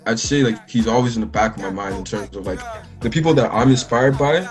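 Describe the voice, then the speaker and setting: A man's voice: lowish voice, brisk, faint music behind. A young man from the Bahamas, a musician talking casually in an interview, rambling with lots of restarts. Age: young adult. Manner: rambling, many likes and restarts. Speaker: musician guest.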